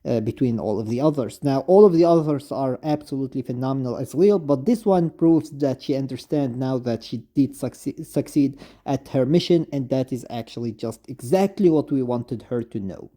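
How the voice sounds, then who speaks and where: A man who is not a native English speaker gives unscripted, gushing video game fan commentary, halting and often repeating himself. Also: mid-range voice, mellow. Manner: effusive, halting, repetitive. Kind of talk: unscripted fan commentary, reading lines aloud.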